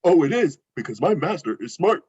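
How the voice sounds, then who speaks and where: Friendly, naive cartoon dog, played by a man, highish and very animated, eager to please, dull lo-fi sound. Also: fairly quick, slightly rough. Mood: proud. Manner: childlike, simple earnest wording. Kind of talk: animated film script table read. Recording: muffled lo-fi microphone.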